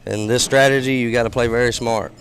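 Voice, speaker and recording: A hoarse man, the tournament winner, answering interview questions politely and laid-back through a headset. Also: medium pitch, steady tone, fast, a bit bright, slight background noise, rough recording. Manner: laid-back, polite, says yes sir. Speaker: winning player interviewed. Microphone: shared headset.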